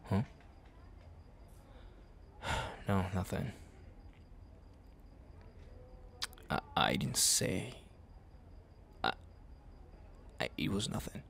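Low, slow, breathy jealous best friend played by a man. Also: sing-song, fairly bright tone, a little hiss or hum, full character voice. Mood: shy, exasperated. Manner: breathy, halting, often murmuring and sighing. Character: jealous, tender young male best friend confessing love. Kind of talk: intimate ASMR romantic roleplay.

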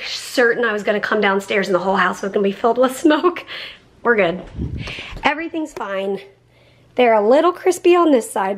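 A woman in her thirties, a vlog co-host, chatting in an animated way to a handheld camera in her kitchen, saying "like" often. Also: a little high. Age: 30s. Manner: chatty, animated, says "like" a lot. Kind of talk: casual vlog chat. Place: home kitchen. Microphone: handheld camera at arm's length.

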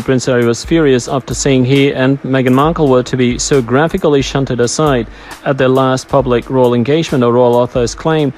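A man reading a scripted royal news narration, stumbling now and then as he reads. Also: medium pitch. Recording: music behind the voice. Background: background music.

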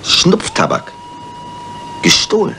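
An actor playing an exasperated, patronising police chief inspector in a German crime radio play. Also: male voice, slow pace, sharp tone, a little hiss or hum. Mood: incredulous. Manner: incredulous, placating.

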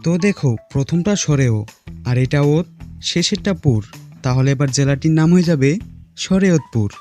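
A man's voice: on the high side, expressive, moderate pace, mellow, soft background music. A man narrating a scripted puzzle quiz as host, speaking directly to viewers.

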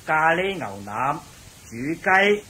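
An actor playing an elderly, genial palace cook in a radio drama, chatty and deferential with hesitant interjections. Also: male voice, on the high side, sing-song, slow, pushed and bright, heavily acted. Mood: deferential, eager. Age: older. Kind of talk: Cantonese radio drama acting. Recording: faintly noisy radio drama recording.

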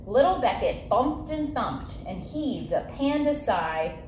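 A woman reads a picture book for children, with a fairly high voice that swoops expressively through each phrase. She takes her time in a sing-song storyteller's way. The space around her sounds roomy and echoey. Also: very animated, slow, darkish tone. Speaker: zoo educator and story reader. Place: zoo viewing area beside glass habitat. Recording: roomy echo, light background noise.

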